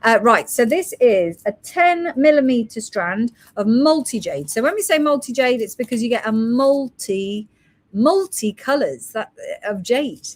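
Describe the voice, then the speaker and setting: A woman's voice: a little high, lively intonation, unhurried. A woman hosting a live stream selling gemstone beads, chatting casually and reading out product codes and prices. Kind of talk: casual live sales chat.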